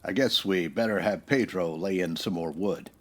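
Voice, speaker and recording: A man's voice: sits low, moderate pace. A man playing an upright, plain-spoken Old West marshal in a radio western, earnest and folksy. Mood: calm, earnest.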